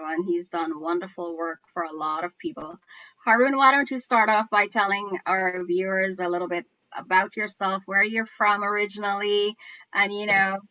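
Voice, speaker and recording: A woman's voice: mid-range voice, sharp tone, no echo, lo-fi sound. A woman hosting a casual online chat, introducing guests and chatting in a friendly way over a video call. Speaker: show host.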